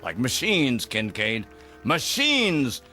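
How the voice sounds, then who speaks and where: An actor playing an eccentric older gentleman, a secretive mentor with a grandiloquent, fatherly manner in a theatrical radio drama. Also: mid-range voice, wildly swooping pitch, takes it slowly, faint music behind, exaggerated voice. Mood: grave, impassioned. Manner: grandiloquent, avuncular, emphatic. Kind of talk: theatrical audio drama acting.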